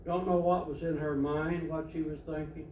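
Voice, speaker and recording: Older preacher, echoing far off. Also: male voice, on the high side, flat delivery, moderate pace, narrow, tinny audio. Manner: plainspoken storytelling, conversational. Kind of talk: sermon. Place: church sanctuary, indoors, standing at a pulpit. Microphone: far camera. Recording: echoey church from far off, faint hiss.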